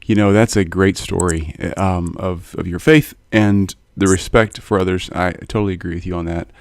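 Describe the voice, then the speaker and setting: Male podcast host, deep voice, dry recording; rambling, hesitant questioner. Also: fairly even intonation, normal speed, gravelly, no echo. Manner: meandering, hesitant, many fillers and restarts. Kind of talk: interview questions.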